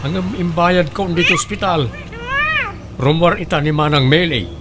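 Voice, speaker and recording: A man with an Ilocano accent playing a kind but exasperated middle-aged husband in a comic radio drama, animated and grumbling. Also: fairly high pitch, normal speed, lightly acted. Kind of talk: comedic radio drama acting. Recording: very loud background noise.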